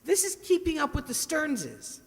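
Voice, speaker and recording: A woman's voice: sits high, wildly swooping pitch, a little slow, full character voice. A woman playing a ditzy, scheming, playful suburban housewife with theatrical, wheedling comic timing in an old-time radio sitcom. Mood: coaxing, playful. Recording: echoey hall, long reverb.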